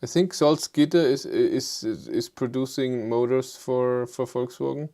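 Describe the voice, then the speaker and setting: Live host, male, thick German accent, mid-pitched voice, low-key and halting delivery. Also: mellow. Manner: hesitant, low-key, many fillers, self-deprecating. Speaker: livestream host. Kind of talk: casual live chat answering viewers.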